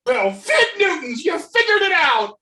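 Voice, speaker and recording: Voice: very high-pitched, very animated, unhurried, vocal fry, full character voice. A performer playing a gloating, doomed masked criminal with theatrical mockery in a comedic script reading. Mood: manic gloating. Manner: theatrical, mocking, swinging into shouts. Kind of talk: comedic script table read. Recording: distant, echoey video call audio.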